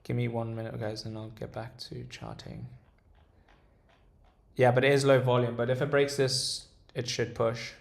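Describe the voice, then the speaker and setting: A man's voice: mid-range voice, moderate pace, vocal fry. A young man with a British accent, casually talking through stock charts on a live stream and answering chat, hesitant with many ums and restarts. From Dubai. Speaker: day trader streamer. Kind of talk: casual livestream chart commentary, reading chat. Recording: roomy echo.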